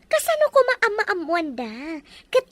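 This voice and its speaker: A woman's voice: very high-pitched, huge pitch swings, unhurried, fairly bright tone, slight background noise, full character voice. A woman playing a cruel, scheming mistress of the house, domineering and mocking, in an audio drama. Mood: cruel mockery. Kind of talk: melodramatic audio drama dialogue.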